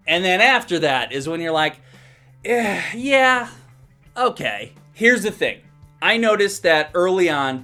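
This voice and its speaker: A man with a slightly high-pitched voice talks through a film review in a casual, conversational style. He is blunt and swears loosely as he gives his opinions, with faint music playing behind him. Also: a bit bright. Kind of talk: casual movie review commentary.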